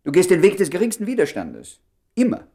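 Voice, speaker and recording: An actor in a German radio play voicing a tactless, stubborn doctor with a blunt, agitated manner. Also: male voice, high voice, wildly swooping pitch, brisk, mellow, heavily acted. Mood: reproachful. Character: tactless, critical doctor, stubborn but apologetic.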